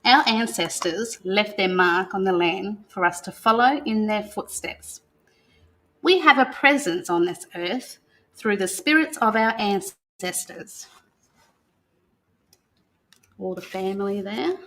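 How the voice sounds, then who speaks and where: An Australian woman speaking as a guest storyteller, talking and reading a picture book aloud in a gentle manner. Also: medium pitch, unhurried, rough recording. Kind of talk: unscripted talk and picture-book read-aloud.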